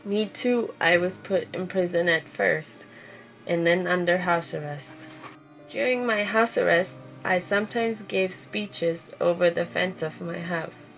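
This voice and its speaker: A woman's voice: lowish voice, a little slow, gravelly, rough recording. Woman narrating a life story over music. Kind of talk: scripted first-person biographical narration. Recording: music behind the voice. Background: background music.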